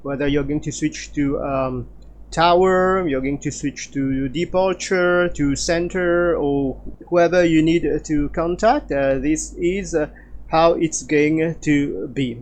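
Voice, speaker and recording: Slow, halting man giving a tutorial. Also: a little high. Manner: hesitant, halting delivery with frequent filler pauses. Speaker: flight simulator instructor. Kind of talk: explanatory tutorial narration.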